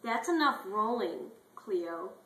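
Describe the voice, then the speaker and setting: Lady reading as a spaniel dog teacher in a kids story, instructing, bright voice, slow pace, echoey. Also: medium pitch, lively intonation. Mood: upbeat, instructing. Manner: instructing, drawn-out praise. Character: female spaniel obedience teacher, upbeat, openly favoring pets.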